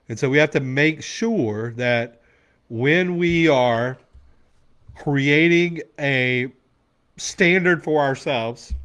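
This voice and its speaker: A man's voice: medium pitch, a bit bright. A man in his forties giving a blunt, emphatic motivational talk as a men's coach, swearing freely to a room. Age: 40s.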